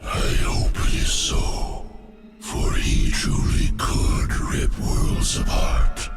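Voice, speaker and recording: An actor playing an ancient primal alien beast elder who speaks in solemn, commanding proclamations. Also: male voice, extremely slow, over music.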